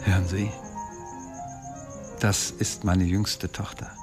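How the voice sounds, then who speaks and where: Courteous academic, very low voice, halting, formal, radio crime drama, music backdrop. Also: male voice, little pitch movement. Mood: hesitant confiding. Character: hesitant, courteous academic man confiding in police.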